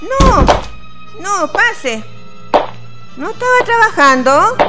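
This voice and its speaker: A woman's voice: very high-pitched, very animated, very slow, loud music behind the voice, exaggerated voice. A woman with a Chilean accent playing a flirtatious, bossy married woman in a radio play. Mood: nervous, placating.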